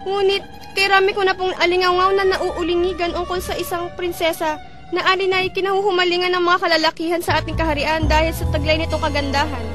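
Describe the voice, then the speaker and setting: A woman's voice: very high-pitched, flat delivery, fast. A woman playing an obedient palace servant in an epic drama, speaking with formal, respectful courtesy. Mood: anxious, pleading. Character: deferential female palace servant to a sultana. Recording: music under the voice.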